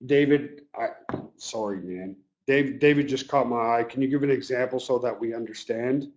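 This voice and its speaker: A man hosting a live webinar, talking earnestly and emotionally to his team, his voice getting a little raspy. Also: mid-range voice, normal speed, darkish tone. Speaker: webinar host. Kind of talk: live webinar pep talk.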